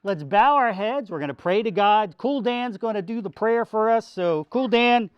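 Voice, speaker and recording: A man's voice: high voice, animated, normal speed, clear, resonant tone. A man speaking as a pastor to children, explaining simply in a folksy way with repetition. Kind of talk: children's sermon with a puppet. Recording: dull lo-fi sound.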